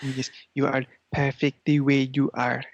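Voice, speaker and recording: A man voicing an imperious, scheming cat in a comedy podcast, speaking in a pompous, halting, grandiose way. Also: mid-range voice, completely flat delivery, no room sound, muffled recording, natural voice. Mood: commanding. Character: imperious, scheming male cat plotting feline takeover. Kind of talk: improvised comedy podcast character.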